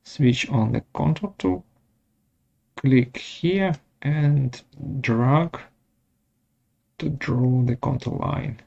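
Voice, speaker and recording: Slow, gravelly man presenting a software tutorial. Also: mid-range voice. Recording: dull lo-fi audio.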